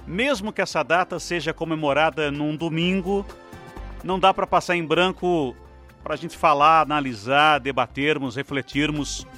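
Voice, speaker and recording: A man's voice: fairly high pitch, moderate pace, pushed and bright, soft background music. A Brazilian man hosting a live radio news interview in a formal announcer cadence. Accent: Brazilian Portuguese. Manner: formal announcer cadence, long flowing sentences. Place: radio studio.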